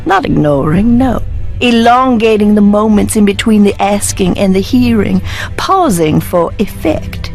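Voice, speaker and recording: A woman playing an unhinged, eccentric young woman in a video game, with dramatic drawn-out phrasing and sudden swerves. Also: mid-range voice, animated, darkish tone, soft background music. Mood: coy, theatrical.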